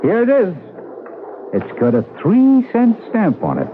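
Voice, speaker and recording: A thin old radio recording with faint noise carries a chatty, amused postal coworker. He is high-pitched and lively, in casual radio drama style. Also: unhurried, phone-quality sound, exaggerated voice. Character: chatty, amused male post office coworker. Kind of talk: 1970s radio drama acting.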